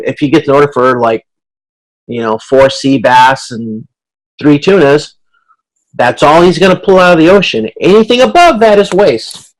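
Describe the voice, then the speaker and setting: Male instructor, mid-pitched voice, conversational online lecture delivery, informal and explanatory. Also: poor audio. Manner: informal, explanatory, checks understanding. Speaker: instructor leading an online class. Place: online video conference.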